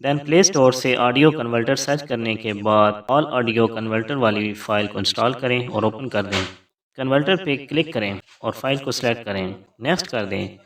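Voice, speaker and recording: A man's voice: medium pitch, moderate pace, a bit bright, recorded from a distance. A man narrating a scripted tutorial as host, giving formal step-by-step instructions.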